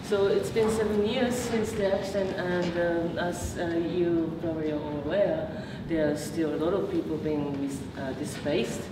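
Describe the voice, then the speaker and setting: Low-voiced woman in echoey room. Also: fairly even intonation, normal speed, few pauses. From Japan. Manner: hesitant, many fillers and restarts. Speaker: PhD researcher giving a talk. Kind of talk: public lecture with slides. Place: meeting room. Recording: echoey room from far off, noisy.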